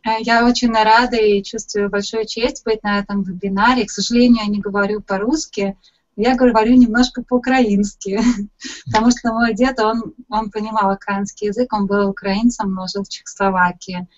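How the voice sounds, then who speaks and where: A woman hosts a webinar and interprets each passage in turn, keeping a measured, even delivery. Her pitch sits in the middle range. The call audio is slightly dull and muffled. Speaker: host and interpreter. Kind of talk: consecutive interpreting into Russian.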